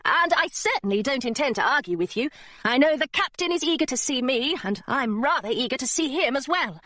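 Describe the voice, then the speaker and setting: Woman playing an eager, high-pitched pirate girl. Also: animated, a little fast, sharp tone, dry recording. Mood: polite, excited. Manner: eager, well-mannered. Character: eager, polite, spirited young pirate girl.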